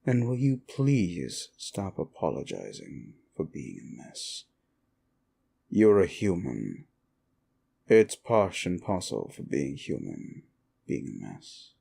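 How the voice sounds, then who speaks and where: Male demon, played by a man, comfort ASMR roleplay: dark, unhurried voice, grudgingly soothing, drily menacing. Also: low-pitched, wildly swooping pitch, gravelly, exaggerated voice. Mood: soothing, hushed. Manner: slow, deliberate, dryly menacing yet soothing. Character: male demon, sinister soul-eater grudgingly soothing a human. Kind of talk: comfort ASMR roleplay, intimate address to the listener.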